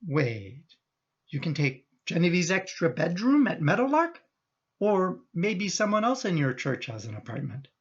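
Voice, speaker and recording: A woman's voice: fairly low pitch, sing-song, a little slow, slightly rough, lo-fi sound, exaggerated voice. A woman playing an exasperated, protective middle-aged son in a radio play, indignant and emphatic in manner. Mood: flustered.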